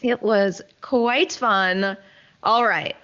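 A woman's voice: mid-range voice, drawn-out words, fairly bright tone, lo-fi sound. A woman hosting a casual entertainment news show, chatting in an enthusiastic, effusive way into a studio microphone. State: a little sleepy. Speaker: show host.

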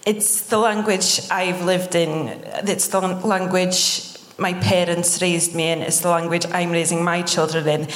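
A woman's voice: fairly low pitch, fairly even intonation. Welsh woman talking in an echoey hall. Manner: earnest, quick, laughs often. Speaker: guest, podcaster. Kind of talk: live podcast conversation. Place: live stage before an audience in a concert hall. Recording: echoey hall with long reverb.

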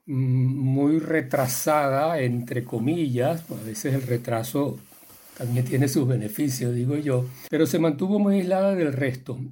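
A man's voice: mid-range voice, warm tone, husky. An older man from the Canary Islands, a guest filmmaker, telling stories from his life in a reflective, rambling way with many restarts. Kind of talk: relaxed storytelling.

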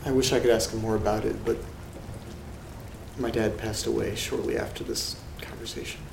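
A man acting in a horror audio drama as an uneasy letter reader, telling things in a subdued, measured way with dramatic pauses. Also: on the low side, level tone, vocal fry. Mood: somber, ominous. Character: uneasy man reading creepy letters aloud alone. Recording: roomy sound with rain and noise. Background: rain and thunder ambience.

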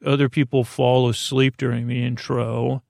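A man with a creaky voice hosting a sleep podcast, talking in a soothing, meandering monologue that halts and drifts into tangents. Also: mid-range voice, flat delivery, dry recording, clean studio sound. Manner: meandering, halting, trailing into tangents; soothing, gently lulling. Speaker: podcast host.

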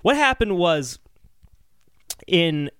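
A man co-hosting a podcast, telling researched stories in a casual, animated chat. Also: high voice, drawn-out words, clean tone, no echo, clean studio sound. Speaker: podcast co-host.

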